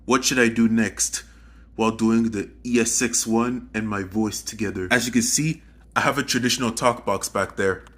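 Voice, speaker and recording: A man giving a casual hands-on music gear demo to viewers, halting as he goes, often repeating and correcting himself. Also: on the low side, steady tone, a bit bright. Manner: halting, repetitive, self-correcting. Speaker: video host demonstrating music gear.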